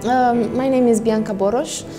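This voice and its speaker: A woman in her twenties with a Romanian accent, speaking in a halting, reflective way in a casual interview. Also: on the high side, fairly even intonation, mellow. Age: 20s. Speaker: painter. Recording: long hall reverb. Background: music.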